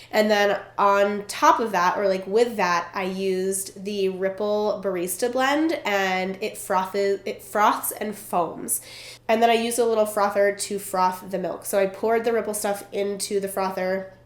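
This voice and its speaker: A young woman vlogger talks casually to the camera, her nose stuffed up and her voice a little froggy from a cold. She sounds chatty and bubbly and strings thoughts together with lots of fillers. The room around her has a noticeable echo. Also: medium pitch. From Vermont. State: stuffed up, congested. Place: indoors at a relative's home. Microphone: camera in front while seated. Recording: roomy echo.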